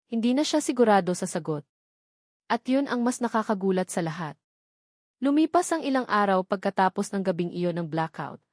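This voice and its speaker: A woman narrating a scripted romance story in a steady storytelling manner, slipping English phrases into her narration. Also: mid-range voice, no room sound, clean studio sound.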